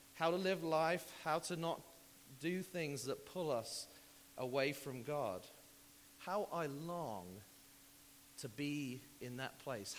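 A man preaching an informal church sermon as a pastor, conversational and emphatic, his voice slightly croaky from recovering from an illness. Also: medium pitch. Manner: conversational, hesitant asides mixed with emphatic repetition. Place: church hall, preaching to congregation. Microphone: church microphone. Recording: hissy, very reverberant hall recording.

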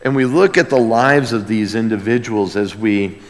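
A man preaching an expository sermon, reverent and emphatic, moving between loud proclamation and hushed emphasis. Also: on the low side, moderate pace. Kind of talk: expository sermon with dramatic scripture reading. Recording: long reverberant hall sound.

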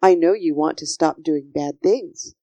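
A gentle storybook king, read by a woman, speaking slowly in a low, mellow voice. He is solemn and measured, reassuring yet full of kindly authority. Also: dry recording, lo-fi sound. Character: gentle, solemn kingly man, patient and reassuring. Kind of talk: children's storybook character voice.

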